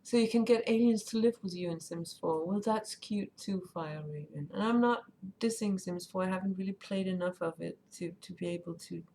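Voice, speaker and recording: A woman's voice: lowish voice, moderate pace, creaky, few pauses, warm tone. Chatty woman streaming a game. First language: Danish. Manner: chatty, rambling, reads chat aloud. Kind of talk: casual live-stream chat while gaming. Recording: faint background noise.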